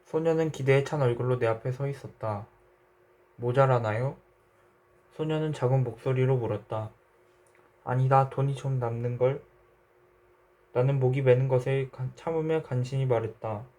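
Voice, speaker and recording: A man narrating a literary short story in a measured, reflective way. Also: mid-range voice, dark tone.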